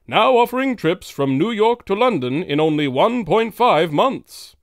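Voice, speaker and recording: A man's voice: sits high, animated, measured pace, no room sound, studio quality. A man announcing in the style of an old-time radio serial, scripted, grand and dramatic.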